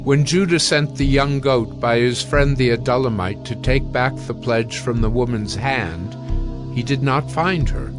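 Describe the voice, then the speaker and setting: A man narrates scripture in a scripted audio drama style. His voice sits at a medium male pitch, with soft music underneath. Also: unhurried.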